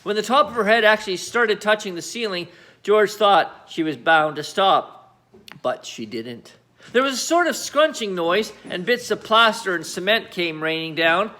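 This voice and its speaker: A man reading a children's story aloud as storyteller, with dramatic, emphatic phrasing and deliberate pauses. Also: high-pitched, expressive, bright, forceful tone. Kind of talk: animated children's story narration.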